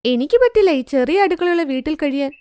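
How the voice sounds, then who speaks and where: A woman's voice: high voice, lively intonation, brisk, warm tone, dry recording, clean studio sound. A woman voicing a haughty, boastful young daughter-in-law who complains in a cartoon moral story. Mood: whiny, defiant. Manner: complaining, showy.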